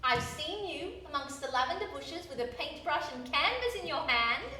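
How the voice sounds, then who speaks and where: An actress playing an eager, determined young garage owner in a stage radio play, speaking earnestly. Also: female voice, high voice, animated, moderate pace, sharp tone, a little hiss or hum. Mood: tender, encouraging. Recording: echoey theatre hall, distant mic, music behind.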